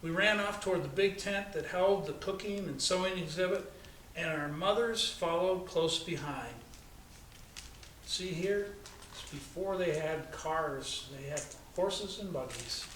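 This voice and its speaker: Higher-voiced American man in an echoey room. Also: measured pace, a bit bright. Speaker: pastor reading a children's story. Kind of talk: expressive read-aloud storytelling for children. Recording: echoey, distant, noisy room recording.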